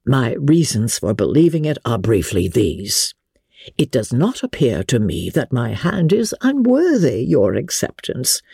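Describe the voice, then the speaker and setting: Woman playing a pompous, husky gentleman suitor. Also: very deep voice, no room sound, clean studio sound, full character voice. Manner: pompous, long-winded, unctuous. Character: pompous, self-satisfied male clergyman suitor.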